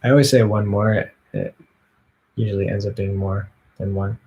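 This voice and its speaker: Quiet, low-key young man chatting casually. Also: fairly even intonation, normal speed, gravelly, husky, lo-fi sound. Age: young adult. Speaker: amateur singer and blogger. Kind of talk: casual chat between songs. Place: small bedroom, indoors.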